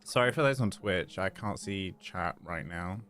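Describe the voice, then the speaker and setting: A man livestreaming, talking casually to his chat in a halting, muttering way. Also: low voice, a bit bright, faint music behind. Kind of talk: casual live stream chat.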